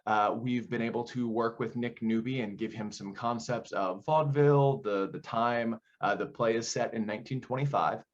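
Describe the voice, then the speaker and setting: A man's voice: mid-range voice, normal speed, few pauses, highs cut off. A man giving conversational, explanatory interview answers as a theater director, often posing questions as he goes.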